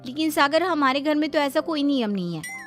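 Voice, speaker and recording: A woman's voice: high voice, expressive, a little fast, soft background music, voice pushed up. A woman playing a defiant, outspoken, modern young city bride in an audio story, questioning everything indignantly.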